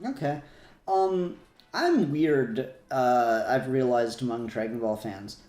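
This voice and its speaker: A man's voice: medium pitch, animated, slow, a little mellow, faint music behind. Rambling male podcast host in a roomy space. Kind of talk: casual fan discussion podcast.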